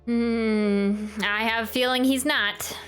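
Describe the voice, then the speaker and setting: Female game streamer, casual reaction chat, unhurried delivery, slightly high voice. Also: steady tone, a bit bright. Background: game music.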